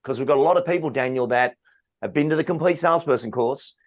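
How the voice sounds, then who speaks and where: A man's voice: mid-range voice, quick pace. A man hosting a business interview as a sales trainer, upbeat and polished.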